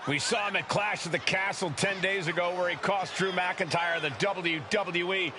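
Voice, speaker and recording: High-pitched male wrestling commentator, very noisy. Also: fairly even intonation, normal speed. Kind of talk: excited play-by-play sports commentary. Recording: very noisy game broadcast audio.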